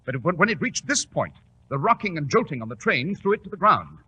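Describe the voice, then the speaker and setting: An actor playing a commanding, self-assured English gentleman detective in a 1940s radio drama, with a crisp British delivery. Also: fairly high pitch, big pitch swings, fairly quick. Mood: excited, triumphant. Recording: dull, faintly noisy 1940s radio recording.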